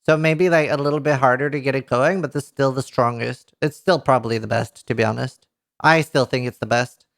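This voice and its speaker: Give me a Norwegian-accented man in his thirties reading game patch notes live, excitable and chatty with his viewers. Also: mid-range voice, fairly quick, vocal fry. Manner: excitable, swears casually, talks to chat. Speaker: streamer. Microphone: headset mic at a desk.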